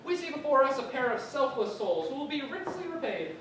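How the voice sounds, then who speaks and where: A woman's voice: high-pitched, big pitch swings, a little fast, fairly bright tone. Woman doing a solemn old lady, stately way of talking, far from the mic with faint noise. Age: older. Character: elderly woman, fond and solemn. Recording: very echoey, distant mic, background noise.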